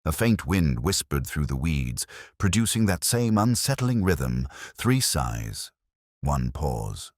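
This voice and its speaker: Extremely deep-voiced male storyteller. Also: sing-song, no room sound, studio quality. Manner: measured, literary, solemn. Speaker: audiobook narrator. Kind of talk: scripted mystery story narration.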